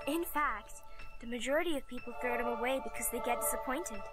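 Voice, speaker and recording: A woman's voice: a little high, brisk, close to an everyday voice. A woman playing a bitter, intense young anime girl in a visual novel, delivering dramatic, earnest speeches. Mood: bitter, disillusioned. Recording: loud music under the voice.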